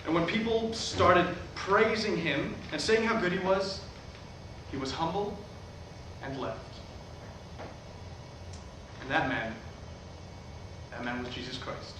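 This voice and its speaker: A man's voice: fairly high pitch, takes it slowly, pauses often. A man acting an earnest, weary megachurch pastor in a staged play reading. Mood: earnest preaching. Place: theatre at a live staged reading. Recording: echoey, noisy hall recorded from far off.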